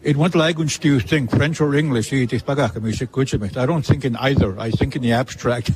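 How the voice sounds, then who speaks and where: A man hosting an interview, asking questions in a measured, hesitant way. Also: medium pitch, a little hiss or hum, rough recording. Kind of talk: casual interview talk.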